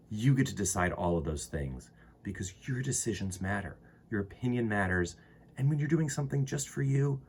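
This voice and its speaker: A man with a husky voice reading aloud to children as a storytime host, expressively, with dramatic emphasis and pauses. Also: mid-range voice. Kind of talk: animated picture-book read-aloud for children.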